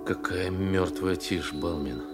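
An actor playing a brooding, questioning space officer in a science-fiction audio drama. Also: male voice, low voice, takes it slowly, loud music behind the voice. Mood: uneasy, puzzled.

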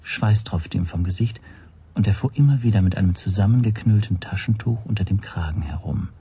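An actor playing a watchful first-person narrator in a radio drama, with a breathy, husky voice. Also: male voice, on the low side, a little fast, warm tone. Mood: observant, tense.

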